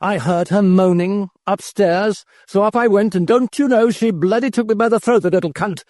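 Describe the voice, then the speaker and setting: A man's voice: sits high, moderate pace, darkish tone, no echo, studio quality, close to an everyday voice. A man voicing a foul-mouthed, indignant dead man in an audiobook, chatty and aggrieved.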